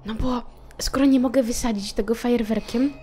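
A woman's voice: a little high, fairly even intonation, brisk, mellow. A woman playing a tabletop roleplaying game, improvising actions and talk in character.

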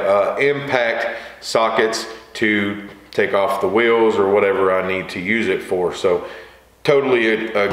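A man's voice: mid-range voice, fairly bright tone. A middle-aged man giving a casual, plain-spoken, folksy walkthrough to camera as a video host. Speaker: lawn care video host. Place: small indoor workshop or garage with wooden shelving. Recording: echoey room, faint background hiss.

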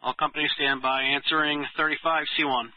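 A man's voice: fairly high pitch, level tone, a little fast, dry recording, phone-quality sound. A man working as a fire dispatcher, giving clipped, formal, procedural announcements and read-backs over emergency radio. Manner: procedural, reads units back. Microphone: dispatch radio transmission.

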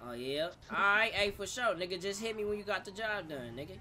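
A man's voice: sits high, lively intonation, a little fast, sharp tone. A man gaming in online roleplay as a gang member, brash and confrontational, swearing heavily in street slang. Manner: brash, confrontational, profanity-heavy.

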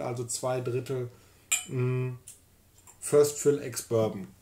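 A man's voice: mid-range voice, mellow, slight background noise. A man in his thirties co-hosting a casual whisky tasting at home, reading out label details in a chatty way. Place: home room with whisky shelves, seated in armchair.

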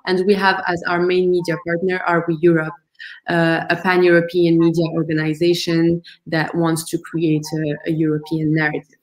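Woman, earnest presenter, slightly low pitch, halting restarts, roomy webcam sound. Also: little pitch movement. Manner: earnest, thoughtful, with frequent ums and restarts. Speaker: project founder presenting. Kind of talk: online presentation over video call. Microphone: video call webcam.

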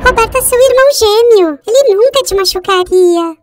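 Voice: very high voice, moderate pace, music in the background. A performer voicing a cartoon animal mother in a comic dub. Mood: soothing.

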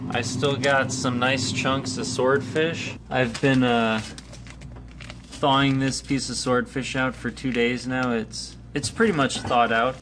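A young man hosting a casual fishing vlog from a small boat, laid-back and laughing often, recorded on an action camera. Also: medium pitch. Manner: laid-back, laughs often, excitable when fish bite. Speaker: fishing channel host. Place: small boat on the open sea. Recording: noisy outdoor boat audio.

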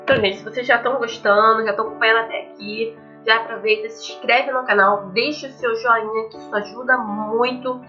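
A woman's voice: on the high side, steady tone, fast, few pauses, lo-fi sound, soft background music. A young woman with a Brazilian accent giving casual let's play commentary as a gaming channel host. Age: young adult. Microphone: headset.

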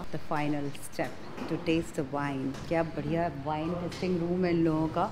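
A woman's voice: sits low, fairly even intonation, measured pace, a crowd in the background. A woman in her thirties with an Indian accent, talking casually and chattily as a travel vlogger, mixing two languages and exclaiming as she goes. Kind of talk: casual travel vlog talk mixing Hindi and English. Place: on location at cafe terraces, streets and winery halls. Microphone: handheld camera at arm's length.

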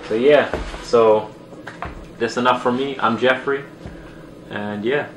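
A man's voice: medium pitch, steady tone, takes it slowly, noisy background. A man in his thirties from Germany, talking casually and chattily to camera at a desk, with slang. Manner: laid-back, chatty, uses slang. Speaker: sneaker vlogger. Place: plain indoor room at a desk. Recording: roomy echo with music behind.

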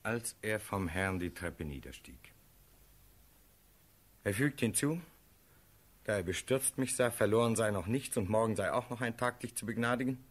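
An actor playing a prince's loyal, anxious friend in a radio play, speaking verse in an earnest, measured, husky voice. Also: male voice, fairly low pitch, animated. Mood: grave.

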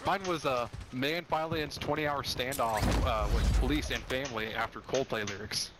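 A man's voice: mid-range voice, steady tone, moderate pace. A man chatting with his squad during a shooter game, telling stories and laughing often. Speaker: squad teammate. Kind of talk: casual gaming chat. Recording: noisy game stream audio.

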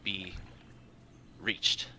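A man playing his character in a tabletop game, speaking in a halting way full of fillers. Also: bright, forceful tone, slight background noise. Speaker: tabletop player. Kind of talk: in-character roleplay.

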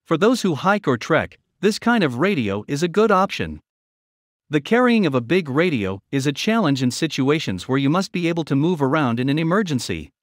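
A man's voice: fairly high pitch, very animated, fairly quick, dry recording, studio quality. A man reading a scripted promotional voice-over as a product review narrator.

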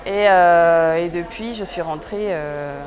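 A woman's voice: on the low side, slow pace, a little mellow. A woman of thirty explaining things in a casual interview, with frequent hesitations. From Besançon region, eastern France. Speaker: exhibition creator being interviewed. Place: indoor exhibition hall. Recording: busy crowd noise behind.

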